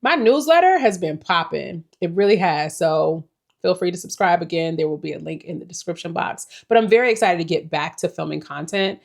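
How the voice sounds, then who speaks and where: A woman's voice: lowish voice, fairly quick, creaky. A woman in her forties giving a casual, rambling life update to her viewers, reflective and talking to them directly. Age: 40s. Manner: reflective, rambling, with small laughs. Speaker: vlogger.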